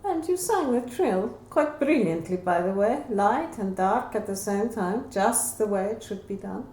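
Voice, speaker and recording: A woman's voice: mid-range voice, lively intonation, measured pace, darkish tone, voice pushed up, lightly acted. A woman voicing a mature, caring Spanish singing teacher in a novel, advising earnestly and emphatically. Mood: encouraging. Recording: roomy echo, faint background noise.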